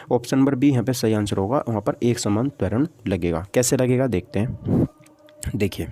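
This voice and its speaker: A man's voice: on the low side, dark tone. A man teaching an exam-prep physics lesson step by step, asking questions, answering them himself and repeating key points.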